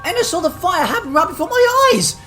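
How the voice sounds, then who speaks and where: Woman voicing a high-pitched, anxious young boy tank engine. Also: very animated, a little fast, pushed and bright, faint music behind, full character voice. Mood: flustered. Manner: stammering, pleading. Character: frightened young male tank engine, earnest and worried. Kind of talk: amateur audio drama acting.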